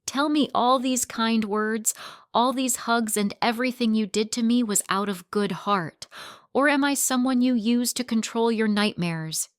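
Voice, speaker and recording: A woman voices a young wife who yells at her husband and mocks him. Her voice climbs high and loud, and she still sounds clean and smooth. The recording is dry and close. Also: measured pace, no room sound, lightly acted. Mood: mocking. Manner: yelling, mocking, biting. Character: young wife, furious and sarcastic.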